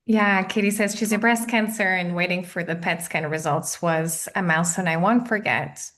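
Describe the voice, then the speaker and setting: Female host, Portuguese accent, slightly low voice, informal and pausing as she moderates an online session. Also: steady tone, fairly bright tone. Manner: hesitant, pausing. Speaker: host, interviewer.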